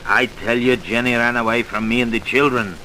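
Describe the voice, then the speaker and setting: A man's voice: mid-range voice, fairly even intonation, brisk, bright, forceful tone, lightly acted, low-quality recording. A man playing a callous, guarded wife-killer in an old radio crime drama. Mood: defiant, indignant. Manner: defensive, guarded, tough. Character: callous, defiant jailed wife-killer, male truck driver. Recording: hissy old radio recording.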